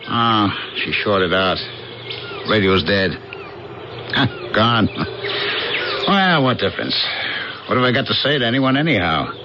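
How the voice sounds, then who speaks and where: Plain-spoken American castaway, animated. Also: male voice, lowish voice, unhurried, pauses often, background noise. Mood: weary, bitter. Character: disillusioned castaway worker mistaken for a god, gruff everyman. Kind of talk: 1980s radio drama acting. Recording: dull 1980s radio broadcast, loud music behind.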